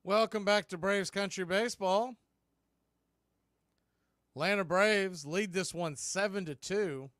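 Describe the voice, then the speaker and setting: A man's voice: high-pitched, a little slow, pauses often, fairly bright tone. A man doing radio baseball play-by-play as the announcer, speaking in short, clipped phrases.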